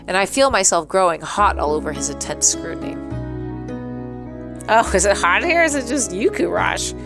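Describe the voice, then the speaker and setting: A woman with a medium-pitched voice plays through a visual novel for an audience. She moves between reading narration aloud and casual, giggly commentary in a playful, theatrical manner. Game music is clearly heard behind her. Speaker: gaming streamer.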